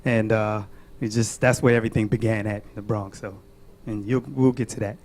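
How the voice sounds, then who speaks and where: Calm New man, measured guest. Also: fairly low pitch, a little hiss or hum. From New York. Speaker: guest performer.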